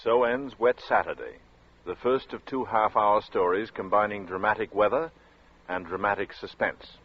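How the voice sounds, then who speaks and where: A man's voice: fairly low pitch, moderate pace, slight background noise. A man announcing in a dramatic, scripted 1940s radio narration style. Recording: muffled 1940s radio recording.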